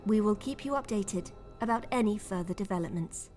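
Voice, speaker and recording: A woman playing a cold corporate spokesperson reading a formal, measured official announcement in an audio drama. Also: mid-range voice, a little mellow, soft background music, lightly acted. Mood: coolly official.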